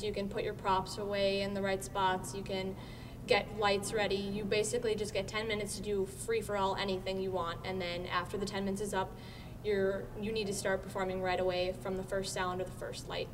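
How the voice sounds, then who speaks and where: A teenage young woman explains things earnestly, in the informal way of a student interview. Her mid-pitched voice comes from a distance in a reverberant space, with a faint rumbling noise underneath. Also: little pitch movement, brisk. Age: teenager, high school student. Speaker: student actor being interviewed. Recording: echoey hall, distant mic, rumbling noise.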